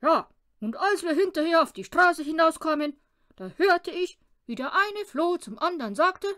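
A woman voicing a small, cheeky male talking poodle telling tales in a children's storybook, loud and playful. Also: extremely high pitch, lively intonation, moderate pace, dry recording, clean studio sound, voice pushed up. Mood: playful, chatty.

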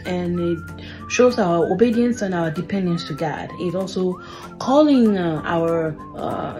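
A woman's voice: on the low side, mellow. A woman giving an earnest kids' lesson, filmed on a phone at arm's length indoors. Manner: earnest, with frequent fillers. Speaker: kids ministry teacher. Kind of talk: earnest teaching talk.

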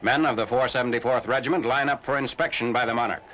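A man narrating a scripted 1940s military newsreel in a formal, measured announcer's delivery. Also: medium pitch, fairly bright tone. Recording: noisy old 1940s film soundtrack.

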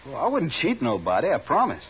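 Surly, whining western troublemaker. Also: male voice, a little high, huge pitch swings, fairly quick. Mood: grateful, spiteful. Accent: American. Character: bitter, desperate male out-of-work gambler, a surly troublemaker. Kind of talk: 1950s radio western acting. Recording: muffled vintage radio with faint hiss.

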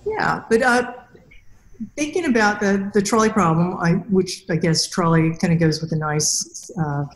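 A middle-aged woman hosting a podcast interview on a video call, talking casually about robotics and acting out quotes lively. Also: unhurried. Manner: animated, acts out quotes with big pitch jumps. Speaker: podcast host and robotics researcher. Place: small room with a plain wall. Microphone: headset mic on a video call. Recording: echoey room.

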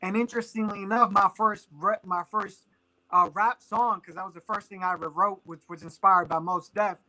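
Bright-voiced man on a video call. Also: sits high, steady tone, moderate pace, poor audio. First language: Spanish. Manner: thoughtful, with frequent fillers and restarts. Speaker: guest artist. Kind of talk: casual interview answers. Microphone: webcam on a video call.

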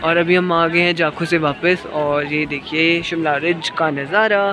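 A man's voice: fairly high pitch, fairly even intonation, measured pace, background noise. A man, casually narrating a walking travel vlog into his phone. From Himachal Pradesh, India. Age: 20s. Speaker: vlogger. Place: outdoors on hill walkways, some indoors. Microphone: phone at arm's length. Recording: music laid loudly over speech. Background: loud music.

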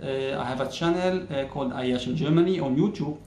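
A man in his thirties from Egypt, speaking English, explains a topic to camera in a measured way, pausing often on "uh". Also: mid-range voice, darkish tone, roomy sound, slight background noise. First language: Arabic. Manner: measured, many "uh" pauses, gestures with hands. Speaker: YouTuber. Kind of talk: casual explainer talking to camera. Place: plain-walled indoor room.

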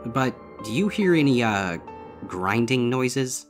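A man's voice: mid-range voice, sing-song, takes it slowly, faint music behind, voice pushed up. A man voicing a young male griffin student in a fan fiction reading, uneasy, hesitant and grumbling. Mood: anxious, puzzled. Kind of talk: fan fiction audiobook character voice.

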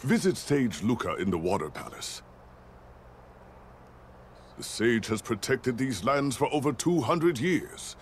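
An actor playing a stern, grave older knight in a video game, speaking in a formal, measured way. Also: male voice, mid-range voice, animated, a little hiss or hum, lightly acted. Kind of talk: dubbed game dialogue.

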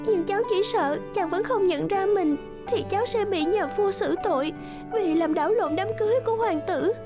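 A woman's voice: very high voice, moderate pace, music in the background. A woman voicing a sweet, timid young peasant woman in a fairy-tale cartoon, earnest and polite. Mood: anxious, earnest.

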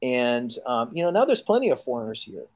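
A man's voice: mid-range voice, brisk. A man in his fifties from Chicago, telling stories as an interview guest over a video call, acting out quoted voices with lots of fillers. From Chicago, United States. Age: 50s. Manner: animated storyteller who acts out quoted voices, many fillers. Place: home room.

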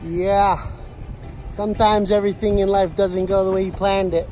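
A man's voice: high voice, flat delivery, normal speed, mellow, rough recording. Laid-back man vlogging outdoors over music. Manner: easygoing, laughs while narrating. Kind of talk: casual travel vlog narration. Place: outdoors on a lake and in woods. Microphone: phone held at arm's length. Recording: music playing underneath.

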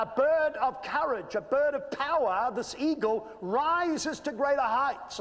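A man in his fifties with an Australian accent, preaching a sermon and telling a personal story, earnest and building to emphatic peaks. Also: very high voice. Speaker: pastor. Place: church stage with screen. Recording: reverberant church hall.

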